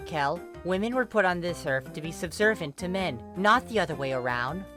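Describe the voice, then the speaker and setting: A woman's voice: lowish voice, animated, moderate pace, over music, pitched above the performer's own voice, lightly acted. A woman voicing a sweet, naively pious young woman, prim and cheerfully preachy.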